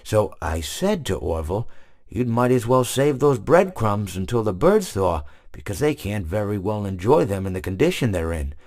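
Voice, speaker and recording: An actor playing a friendly, long-winded animatronic hippo who rambles through meandering stories, full of restarts. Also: male voice, lowish voice, sing-song, moderate pace, darkish tone. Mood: rambling.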